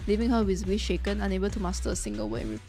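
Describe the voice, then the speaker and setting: A woman live-reacting to a game story, expressive, emotionally invested and slangy, sometimes reading narration aloud. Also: mid-range voice, rapid-fire, over music.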